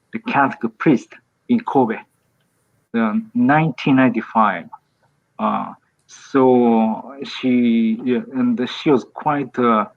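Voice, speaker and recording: A priest in his fifties from Japan, speaking English with a Japanese accent. He talks slowly, with many pauses and restarts. The sound is thin and tinny, like a video call. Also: mid-range voice. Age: 50s. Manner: hesitant, many fillers and restarts. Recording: tinny phone-like call audio.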